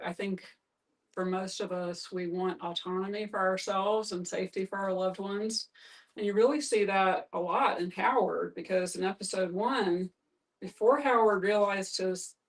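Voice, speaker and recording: Woman, group facilitator, conversational, inviting manner, medium pitch, slightly distant recording. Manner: inviting, asks open questions. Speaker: guest facilitator, therapist. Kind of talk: conversational guided discussion. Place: live group gathering in a room. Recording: distant, off-mic pickup.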